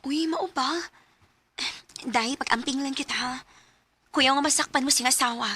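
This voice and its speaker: A woman's voice: high-pitched, moderate pace, sharp tone. An actress with a Cebuano Filipino accent playing a concerned, nosy and chatty female friend in a radio drama. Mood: curious, excited. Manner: chatty, questioning. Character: concerned, nosy girlfriend confidante, cautioning and chatty. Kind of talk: Bisaya radio drama acting.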